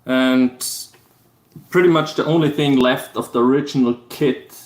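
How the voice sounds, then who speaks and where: Slow-talking man with an Austrian German accent. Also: fairly even intonation. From Austria (Vorarlberg). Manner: halting, low-key, explains step by step. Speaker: livestream host. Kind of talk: casual livestream chat. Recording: roomy echo.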